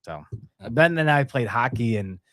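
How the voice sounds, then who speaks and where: A man's voice: mid-range voice, lively intonation, unhurried, a bit bright. A man co-hosting a podcast, chatting casually with an easygoing, teasing manner. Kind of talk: casual chat.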